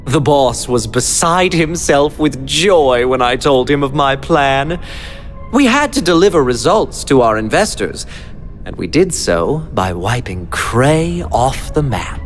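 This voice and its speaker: An actor playing a gleeful, devious villain in a video game, speaking in flowery mock-politeness with theatrical, drawn-out words. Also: male voice, mid-range voice, animated, close to an everyday voice. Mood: gloating, smug. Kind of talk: anime-style video game villain acting. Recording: dramatic game music behind.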